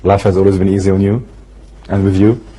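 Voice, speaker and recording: A male TV host with Arabic as his first language, talking quickly in a very raspy, breathy voice with a dark, low tone. He asks pointed, probing questions and pushes his guest for answers. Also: little pitch movement, rough recording. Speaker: TV interview host. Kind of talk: interview questions.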